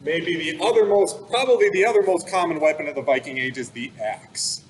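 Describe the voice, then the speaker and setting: A man's voice: a little high, brisk, slight background noise, poor audio. A man giving an informal, plain-worded explanatory talk for children, like a museum expert. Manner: casual, plain-worded explanations with everyday comparisons.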